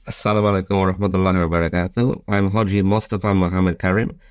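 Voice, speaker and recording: Casting: podcast guest around fifty, very low voice, polished and formal, dry recording. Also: male voice, level tone, very fast, darkish tone, smooth, dry recording. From Bangladesh, raised in the United Kingdom. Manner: polished, formal, reads like a prepared statement. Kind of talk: prepared self-introduction, interview answers.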